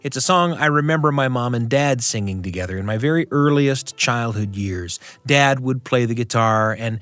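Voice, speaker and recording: A man's voice: medium pitch, normal speed, a bit bright, no room sound. A man hosting a radio show, chatting and telling personal stories in a conversational way. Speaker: radio show host and storyteller. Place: radio studio.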